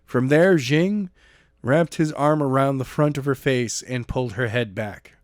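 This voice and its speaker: Male amateur podcast voice, mid pitch, dry close recording, informal and unpolished. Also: unhurried, no echo. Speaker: podcast co-host and amateur narrator. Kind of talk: casual banter and novel read-aloud.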